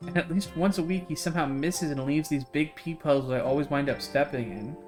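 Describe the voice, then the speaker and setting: Put-upon game protagonist read by a man, deadpan grumbler, higher voice, music underneath. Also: a little fast, natural voice. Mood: exasperated, wry. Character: wry, put-upon visual novel protagonist complaining about roommate.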